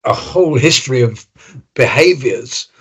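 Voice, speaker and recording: A man's voice: mid-range voice, lively intonation, normal speed, a bit bright, slightly rough. A man hosting a podcast interview, friendly and thoughtful, summarizing what his guest says. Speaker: podcast host.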